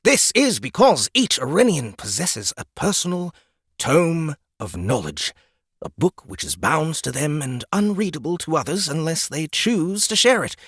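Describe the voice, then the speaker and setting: Pompous lizard guide played by a man, condescending lecturer, very animated pitch, dry close sound. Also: unhurried, husky, no echo, heavily acted. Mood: lecturing. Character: pompous, exasperated ninety-one-year-old male lizard guide, youthful-looking. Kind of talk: audiobook character acting.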